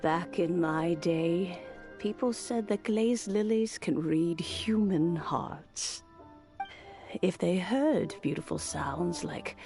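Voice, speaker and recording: A wistful elderly wise woman in a game, low and creaky. She speaks slowly and reflectively, like a storyteller, over soft music. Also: exaggerated voice. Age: older. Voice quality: creaky, aged. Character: wistful, wise old woman of a harbor town. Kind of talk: video game voice acting.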